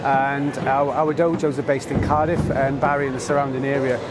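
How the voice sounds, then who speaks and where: A man's voice: medium pitch, little pitch movement, brisk. A man from Wales, a martial arts club representative, speaking in an event interview with many hesitations. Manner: hesitant, many ums and uhs. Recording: loud background noise.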